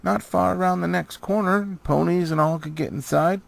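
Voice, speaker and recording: Guy putting on a young dwarf scout from a storybook, pitched way up, excited and hurried. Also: gravelly.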